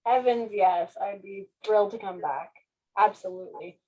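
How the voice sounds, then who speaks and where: A woman's voice: mid-range voice, moderate pace, a little mellow, rough recording. A woman chatting casually as a guest author, effusive and self-deprecating. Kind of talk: casual question-and-answer chat.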